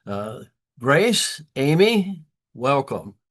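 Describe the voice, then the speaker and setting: A man's voice: medium pitch, big pitch swings, extremely slow. Older male host, hesitant and chatty. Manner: warm, hesitant with many fillers. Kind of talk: interview conversation.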